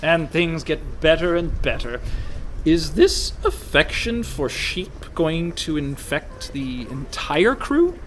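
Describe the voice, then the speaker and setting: A man's voice: a little high, expressive, takes it slowly, a little hiss or hum, rough recording. An actor in a comic radio play, playing a sardonic, fretful con man posing as a pirate merchant. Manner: dry, exasperated, worrying.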